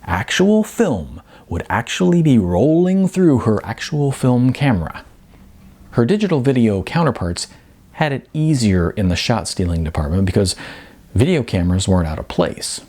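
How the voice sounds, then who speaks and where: A man reading a novel as its author, in expressive audiobook narration with deliberate, emphatic phrasing. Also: medium pitch.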